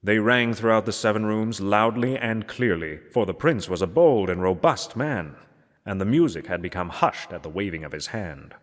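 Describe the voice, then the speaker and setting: A man reading a gothic story aloud as narrator, in a solemn, measured and theatrical manner. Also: fairly low pitch. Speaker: narrator, reader. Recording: long hall-like reverb.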